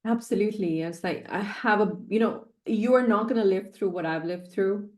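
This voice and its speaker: A woman's voice: mid-range voice, normal speed, darkish tone, echoey room. A woman in her forties telling her personal story as a podcast guest, earnest and repeating key phrases for emphasis. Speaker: guest, sales coach.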